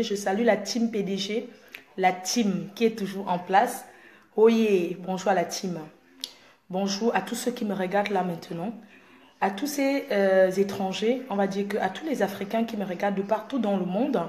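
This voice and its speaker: A Cameroonian woman speaks straight to camera with a Cameroonian French accent. Her tone is boastful, confrontational and mocking, and her pitch sits in a middle range. The recording has a noticeable roomy echo. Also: darkish tone. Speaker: blogger. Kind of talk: direct-to-camera rant.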